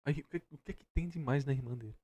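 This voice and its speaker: A man's voice: medium pitch, racing, dark tone, husky. A man with a Brazilian accent giving casual, heated commentary on a video, blunt, sarcastic and full of slang. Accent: Brazilian Portuguese. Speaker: reaction stream host.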